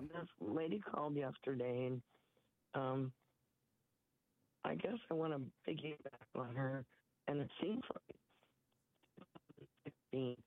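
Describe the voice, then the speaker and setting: A woman calling in to a radio talk show, her voice husky after a cold, talking in halting starts and restarts. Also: sits low, dry recording. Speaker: caller. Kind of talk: call-in radio chat. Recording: tinny phone line.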